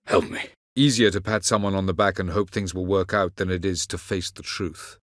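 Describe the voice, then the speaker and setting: An actor playing an ancient, aloof elven sage in a video game, speaking in a measured, formal and condescending way. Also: male voice, low voice, steady tone, a bit bright, no echo, studio quality. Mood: cold, scornful. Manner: measured, formal, haughty delivery. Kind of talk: scripted video game dialogue.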